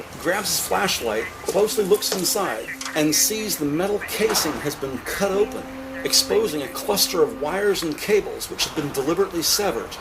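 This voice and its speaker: A man giving measured, descriptive present-tense narration for a scripted drama. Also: fairly high pitch, vocal fry, heavy background noise.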